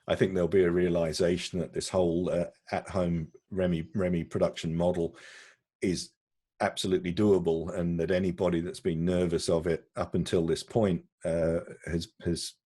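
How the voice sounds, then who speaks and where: A man answering questions in a conversational video-call interview, halting and often repeating words as he explains. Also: low voice, level tone, mellow, breathy edge, dry recording, clean studio sound. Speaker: interviewee, broadcast technology executive.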